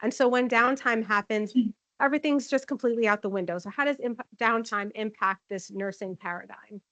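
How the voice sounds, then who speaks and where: A woman giving a chatty conference talk as a nursing informatics manager, with a loud voice and many "um" fillers. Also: on the high side, a little fast, dry recording. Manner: friendly, chatty, frequent "um" fillers. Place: conference room. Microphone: handheld or podium microphone.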